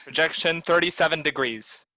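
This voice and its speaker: A woman's voice: low-pitched, normal speed, bright, forceful tone. A woman playing a stern artillery officer in a radio play, calling out clipped, firm commands. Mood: commanding. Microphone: video call.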